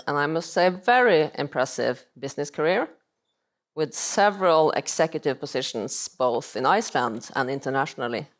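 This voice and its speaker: A young woman moderating a seminar on stage, speaking politely and formally in a measured way. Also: deep voice. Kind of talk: formal onstage interviewing. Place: business school seminar stage.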